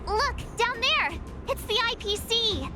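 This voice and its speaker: Game little girl: gleeful adventurer, very high, swooping voice over music. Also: lively intonation, unhurried. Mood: startled. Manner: exclamatory, gleeful. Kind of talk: scripted video game dialogue.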